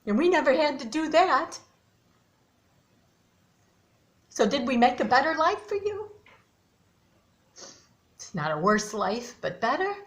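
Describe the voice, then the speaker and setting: A woman performing a stage monologue as a loving, plain-spoken elderly grandmother who speaks earnestly and haltingly as she gently chides her grandson. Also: fairly high pitch. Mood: proud, troubled.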